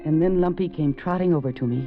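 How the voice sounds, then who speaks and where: A woman's voice: lowish voice, quick pace, music in the background, natural voice. An actress playing a young wife pleading her husband's innocence and narrating the story in an old radio drama. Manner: earnest, pleading, urgent. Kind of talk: 1940s radio acting, first-person narration. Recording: dull old radio recording.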